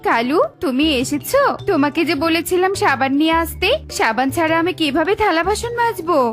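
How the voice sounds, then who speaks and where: A woman's voice: extremely high pitch, expressive, brisk, faint music behind. A woman voicing a bossy, demanding female crow wife who scolds, in a children's cartoon. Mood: scolding.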